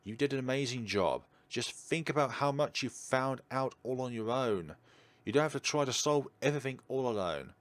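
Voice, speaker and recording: A man's voice: mid-range voice, expressive, moderate pace, no echo, voice pushed up, lightly acted. A man voicing an earnest, encouraging reporter in an emphatic, theatrical read-aloud of a visual novel. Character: earnest young male reporter, self-reflective, encouraging his colleague. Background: game music.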